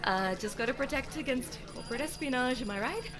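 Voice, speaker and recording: A woman's voice: sits high, expressive, fairly quick, music in the background. A woman playing a chatty, gossipy young office friend in an audio roleplay, talking in a playful, conversational way. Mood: awkward, covering up.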